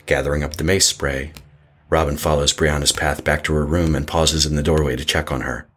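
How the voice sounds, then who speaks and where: Extremely deep-voiced male horror narrator. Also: little pitch movement, a little fast. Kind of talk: scripted horror story narration.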